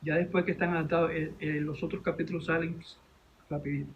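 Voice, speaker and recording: A man with a Venezuelan accent telling a story informally in an interview, halting and repeating his words. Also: on the high side, little pitch movement, lo-fi sound, slight background noise. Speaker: interview guest, animation director and teacher.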